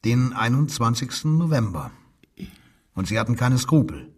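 An actor playing a stern, insistent police interrogator who puts clipped, probing questions in a German radio crime drama. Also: male voice, mid-range voice, very animated, normal speed, slightly rough.

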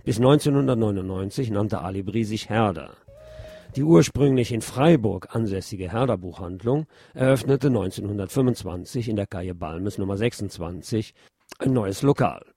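A middle-aged man hosting a radio show, reading news commentary in a measured, opinionated way. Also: lowish voice, warm tone, no echo. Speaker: radio host.

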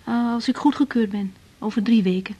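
Actress as young lady doctor, kind and frank, highish husky voice, informal radio drama talk. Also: a little fast, mellow, close to an everyday voice. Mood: agreeable. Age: young adult. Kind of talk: 1980s Dutch radio drama acting.

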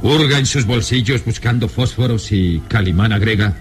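Low-voiced male radio drama narrator over music. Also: normal speed.

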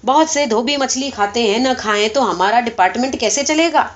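A woman voicing a feeble, foolish old male clerk who is hard of hearing, slowly querying and repeating things in a story. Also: very high voice, a bit bright, highs cut off, pitched above the performer's own voice. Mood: puzzled, complacent. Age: elderly. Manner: slow-witted, querying and repeating what was heard.

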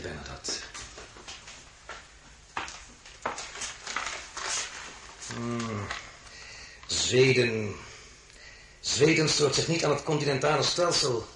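An imperious French emperor in a radio drama. His voice sits rather high and leaps about theatrically as he commands and mocks. The sound is roomy, with faint noise behind it. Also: fairly bright tone. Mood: brisk, scheming.

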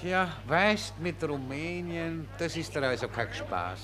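An actor playing a chummy, dim young Viennese officer with a Viennese accent in a 1940s German radio play. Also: male voice, a little high, animated, takes it slowly, a crowd in the background. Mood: chatty, teasing. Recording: old radio recording, music behind.

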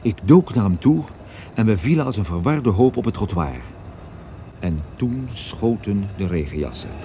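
An actor playing a hard-boiled private detective who narrates a radio play in a dry, wry, laconic way. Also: male voice, lowish voice, very animated, normal speed, heavy background noise. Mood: wry, tense. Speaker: narrator and protagonist.

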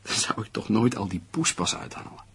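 Radio play: persuasive, dismissive male friend, lively intonation, rough unclear sound. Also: on the low side, a little fast, heavily acted. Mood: scornful, persuasive. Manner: argumentative, theatrical, persuasive. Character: glib male friend, needling, reasoning, dismissive of danger. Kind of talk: Dutch radio play acting.

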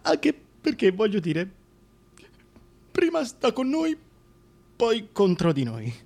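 An actor playing a scheming, bitterly sarcastic tyrant in a theatrical radio monologue, volatile and sardonic in delivery. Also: male voice, high voice, huge pitch swings, slow, creaky, full character voice. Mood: sardonic, exasperated. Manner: sardonic, volatile, swinging from hushed musing to outbursts.

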